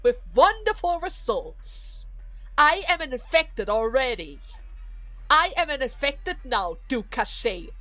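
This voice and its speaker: A woman's voice: sits high, sing-song, slow, sharp tone, no echo, faint music behind, full character voice, low-quality recording. A woman acting a defiant young noblewoman and daughter in a dramatic game story, accusing and emphatic with righteous anger. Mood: defiant, triumphant.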